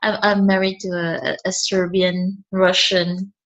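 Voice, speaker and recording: A woman's voice: fairly low pitch, flat delivery, slow, fairly bright tone, muffled recording. A woman, a guest speaking hesitantly and often restarting her words. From Philippines. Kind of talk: conversational interview.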